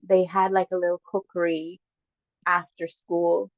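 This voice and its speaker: A woman with a Guyanese accent, a guest casually telling stories with lively bursts and frequent laughs. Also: fairly low pitch, dry recording. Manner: lively, laughs often, quick bursts when excited. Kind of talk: casual storytelling chat. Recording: dull lo-fi dry sound.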